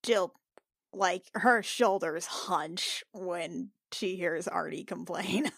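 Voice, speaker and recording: A woman's voice: lowish voice, slow pace, vocal fry, fairly bright tone, dry recording. A woman playing a tabletop roleplaying game, switching casually between speaking as her character and describing what the character does.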